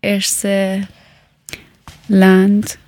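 Slow, flat-voiced woman groping for words. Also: medium pitch, background noise. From Iran. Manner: slow, hesitant, often searching for words. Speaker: interviewer. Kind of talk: casual chat.

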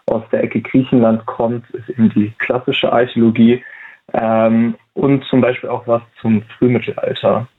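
A man of twenty answering interview questions in a casual, hesitant way, with many fillers and restarts. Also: mid-range voice. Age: 20s. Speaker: interview guest, volunteer at a museum. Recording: tinny phone-like line.

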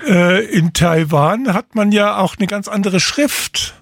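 A male radio host with a high voice asks short, plain questions. The sound is dry and close, with no room around it. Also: lively intonation, takes it slowly, gravelly, dry recording. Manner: short questions and brief acknowledgements. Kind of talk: radio interview.

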